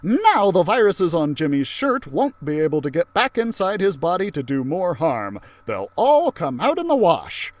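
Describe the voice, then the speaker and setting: Man voicing an animated, high-pitched lady science teacher. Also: moderate pace, hardly any pauses, no room sound. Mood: explanatory, upbeat. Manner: emphatic, explanatory storybook delivery. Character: upbeat, theatrical female science teacher, adult, enthusiastic.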